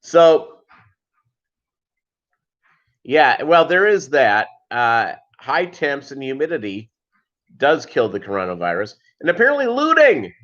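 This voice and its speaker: A dull, lo-fi livestream recording of a man with a mid-pitched voice. He hosts a casual chat, rambling from one thought to the next and tossing in deadpan, joking asides. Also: slow, long pauses. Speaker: host of a live fishkeeping chat show.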